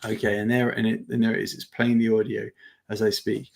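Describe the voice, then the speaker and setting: A man presents an informal live product demo with noticeable vocal fry. His delivery is halting and conversational, as he thinks aloud while he explains things to attendees. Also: fairly low pitch, level tone. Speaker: webinar presenter demonstrating software.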